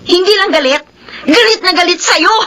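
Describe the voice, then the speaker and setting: A woman's voice: very high voice, moderate pace, bright, forceful tone. An actress playing a hurt, outraged young girlfriend in a melodramatic Tagalog drama, with an emotional delivery. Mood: angry, tearful. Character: hurt, outraged young girlfriend confronting her cheating lover.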